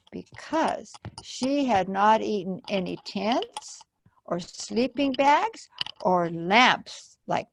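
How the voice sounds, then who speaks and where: A woman's voice: fairly high pitch, fairly bright tone, slight background noise. A woman hosting a library storytime, telling a story to children and adding simple explanatory asides. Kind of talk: children's read-aloud storytelling.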